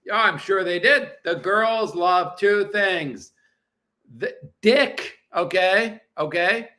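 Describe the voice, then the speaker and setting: A man's voice: high-pitched, takes it slowly, pushed and bright. Crude, blunt man in his forties. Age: 40s. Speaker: livestream host. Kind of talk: casual livestream chat reading viewer comments. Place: apartment.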